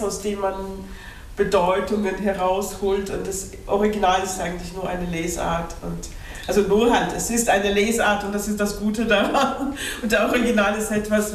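A woman whose first language is Belarusian, reflecting aloud with frequent hesitations as she leads a panel talk. Her voice sits at a middle pitch. It comes from some way off in a roomy hall, with faint hiss behind it. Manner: thoughtful, hesitant, many fillers. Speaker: translator and moderator. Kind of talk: panel discussion. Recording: echoey room from far off.

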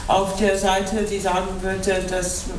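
A woman whose first language is Belarusian, reflecting aloud with frequent hesitations as she leads a panel talk. Her voice sits at a middle pitch. It comes from some way off in a roomy hall, with faint hiss behind it. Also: completely flat delivery, slow. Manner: thoughtful, hesitant, many fillers. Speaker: translator and moderator. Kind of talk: panel discussion. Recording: echoey room from far off.

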